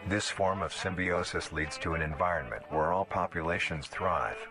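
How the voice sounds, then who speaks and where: Very deep-voiced male documentary narrator over music. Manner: measured, formal, clinical. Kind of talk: scripted educational narration.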